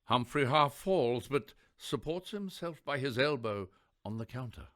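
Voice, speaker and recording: A man narrating a radio play from a script. His voice is of medium pitch and moves expressively up and down through each descriptive phrase. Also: animated, a little slow, a bit bright.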